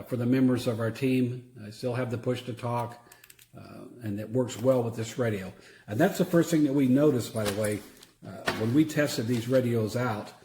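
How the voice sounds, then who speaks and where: A man giving a casual, unscripted product demonstration in a halting, plain-spoken way. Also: mid-range voice, warm tone, slight background noise. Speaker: product reviewer; church security team member. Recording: roomy echo.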